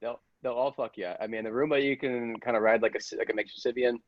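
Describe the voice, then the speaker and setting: A man playing a sheepish, defensive boyfriend who stammers haltingly through an improvised comedy sketch. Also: mid-range voice, steady tone, no echo, highs cut off, natural voice. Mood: matter-of-fact. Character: sheepish, defensive boyfriend obsessed with robot gadgets.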